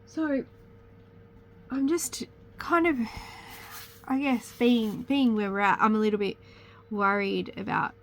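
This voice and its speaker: A woman's voice: on the high side, steady tone, takes it slowly, a bit bright, slight background noise, poor audio. A young woman with a slight cold chats casually to camera as host of a crafting channel, rambling and correcting herself as she goes. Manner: rambling, chatty, self-correcting. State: sniffly with a cold.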